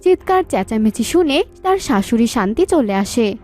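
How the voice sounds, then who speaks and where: Female storyteller for scripted narration: high register, mellow tone, light music bed. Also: sing-song, normal speed.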